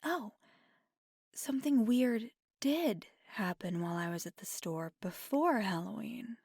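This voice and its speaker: Woman acting as a flirty vampire gf asmr, soft and whispery, slow w lots of vocal fry, playful n affectionate. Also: on the high side, animated, no room sound, clean studio sound, heavily acted. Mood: chatty, flirty.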